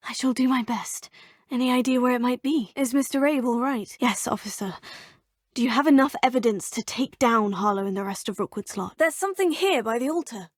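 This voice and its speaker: A woman's voice: fairly high pitch, dry recording, natural voice. A woman voicing a polite young British witch. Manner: polite, composed, slightly formal. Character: young female witch student, polite and curious. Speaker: player character in a video game. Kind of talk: game dialogue lines. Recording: dry studio recording.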